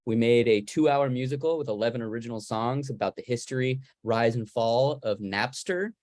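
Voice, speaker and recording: A man's voice: medium pitch, moderate pace, darkish tone, no room sound, studio quality. A man moderating a casual Q&A as a podcast host on a video call.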